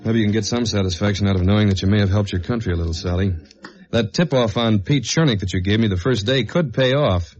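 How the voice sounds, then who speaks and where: An actor as a low-voiced hard-boiled male investigator, vintage radio. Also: fast talker, a little mellow, close to an everyday voice. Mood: earnest. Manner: clipped, slangy, hard-boiled. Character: tough, wry male government investigator in a detective radio drama. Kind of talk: 1950s radio acting with first-person narration. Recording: dull vintage radio recording with music.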